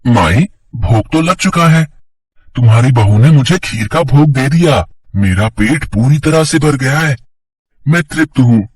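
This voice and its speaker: A man playing a gentle, benevolent child-form god in a cartoon story, speaking earnestly and coaxingly. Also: medium pitch, lively intonation, unhurried, slightly rough, distant mic. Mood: satisfied, benevolent.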